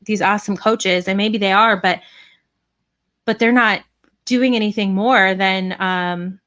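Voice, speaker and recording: A woman's voice: medium pitch, fairly even intonation, unhurried, a bit bright. A woman giving casual, chatty advice as a business coach, with frequent fillers. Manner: chatty, many fillers and restarts.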